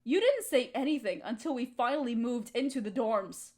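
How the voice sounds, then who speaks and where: Actress for bitter, hurt young woman, high-pitched, emotional audio drama monologue, confrontational and accusing. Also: expressive, normal speed, darkish tone, close to an everyday voice. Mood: bitter, reminiscing. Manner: emotional, accusatory, pointed rhetorical questions. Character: hurt, resentful young woman confronting her longtime roommate.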